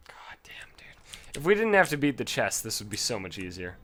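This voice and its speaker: Male let's-play host, animated delivery, casual and profane, prone to loud outbursts. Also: on the low side, moderate pace, slight background noise. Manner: swears freely, yells in bursts of frustration. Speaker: gaming commentator.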